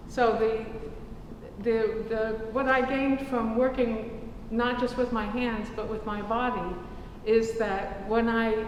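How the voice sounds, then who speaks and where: A woman artist explaining her drawing method in a measured, explanatory gallery talk. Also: medium pitch. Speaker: artist presenting her work. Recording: echoey hall recorded from far off, noisy.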